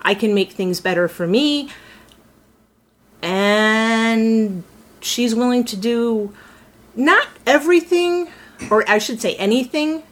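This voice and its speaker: A woman's voice: fairly high pitch, lively intonation, slow pace, pauses often. A woman voice actor in a casual round-table chat, animatedly acting out her character's thoughts as she talks.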